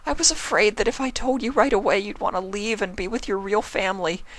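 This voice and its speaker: A man plays a young female unicorn, a protective big-sister type who frets and pleads. The delivery is gentle and emotional, raised in pitch from the reader's own, as in a storybook fan fiction, with little room sound. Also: a little fast, no echo, poor audio. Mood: tearful, pleading. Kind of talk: acted fan fiction reading.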